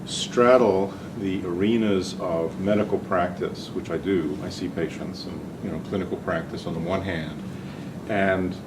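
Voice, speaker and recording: An older man from Hungary, a physician and researcher giving a talk, speaking hesitantly with many ums and restarts. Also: sits low. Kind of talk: lecture-style talk. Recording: distant mic with audible room noise.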